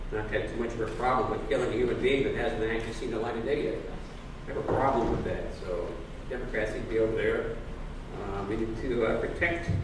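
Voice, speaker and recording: A man speaking as a candidate at a public forum, halting, with many fillers and restarts. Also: on the low side. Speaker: political candidate. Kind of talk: forum speech. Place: public library forum room. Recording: echoey room, distant mic, noise.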